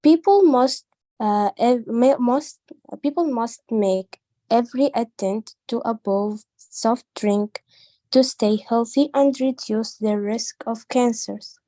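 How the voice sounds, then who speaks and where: Woman reading a script slowly. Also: fairly high pitch, dark tone, no echo. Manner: slow, careful reading with small stumbles. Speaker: language student. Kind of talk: reading a prepared script aloud.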